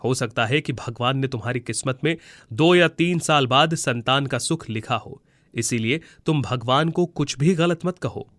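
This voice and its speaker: A man voicing a kindly magical mango tree in a moral storybook tale, gentle and reassuring in how he speaks. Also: medium pitch, moderate pace, dry recording, close to an everyday voice. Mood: consoling. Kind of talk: Hindi moral storybook voice acting.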